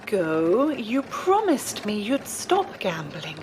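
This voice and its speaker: A woman playing an earnest, gently chiding nun in an audio drama, composed and polite. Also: medium pitch, sing-song, slow, a little hiss or hum. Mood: reproving. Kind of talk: scripted audio drama acting.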